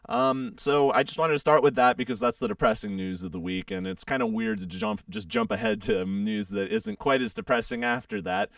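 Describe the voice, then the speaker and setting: A young man hosts a casual radio show with a heavily gravelly, hoarse voice. He talks in a lively, up-and-down way, rambling through fillers. His voice is smooth under the rasp, recorded dry and slightly off-mic. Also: mid-range voice, fairly quick, few pauses, no echo, distant mic. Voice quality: froggy, gravelly. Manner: chatty, rambling with many fillers. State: hoarse, getting over a sore throat.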